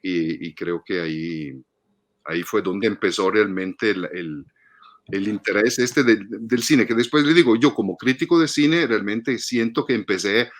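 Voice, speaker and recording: A man in his fifties with a fairly low voice speaks in a reflective, conversational interview style. He is halting, often repeating a word or two while he gathers his thought. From Padua region, northern Italy. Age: 50s. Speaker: guest, film critic and teacher.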